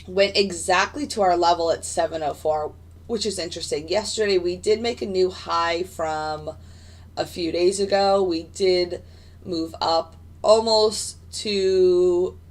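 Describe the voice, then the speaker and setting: Raspy-voiced woman chatting through a trading stream. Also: lowish voice, a little slow. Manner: chatty, rambling, many fillers and restarts. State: raspy voice and hiccups. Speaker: futures day trader streaming live. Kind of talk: casual live-stream market commentary. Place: home desk in front of a computer.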